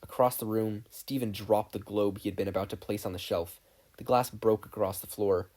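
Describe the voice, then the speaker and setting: A podfic narrator reading a scripted story in an expressive way. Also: low voice, moderate pace.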